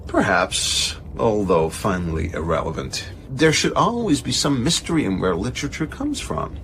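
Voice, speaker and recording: A pedantic evasive gent, careful and hesitant, radio play, slight background noise. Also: male voice, lowish voice, big pitch swings, moderate pace, creaky, fairly bright tone, full character voice. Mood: musing, aloof. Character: measured, pedantic, evasive establishment gentleman. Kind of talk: radio drama dialogue.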